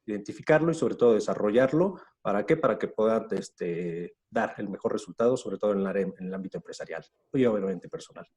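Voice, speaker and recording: Low, mellow-voiced business trainer. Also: male voice. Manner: measured, slightly halting. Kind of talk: self-introduction. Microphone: video call.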